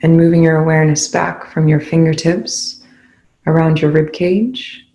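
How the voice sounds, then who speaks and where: Meditation guide, calm and instructive, mid-pitched with noticeable vocal fry, leaving space between phrases. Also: moderate pace, low-quality recording.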